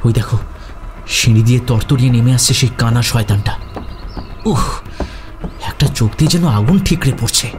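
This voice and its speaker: A man playing a frightened, excitable young adventurer in a dramatic audio story, alarmed and bewildered. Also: medium pitch, normal speed, pauses often, background noise, natural voice. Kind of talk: Bengali audio story acting.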